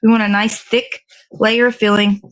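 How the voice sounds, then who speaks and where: A woman's voice: mid-range voice, level tone, moderate pace, rough recording. A woman hosting a morning show in a casual, rambling, chatty way, thinking aloud as she works with her hands. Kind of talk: casual live chat while assembling a dessert.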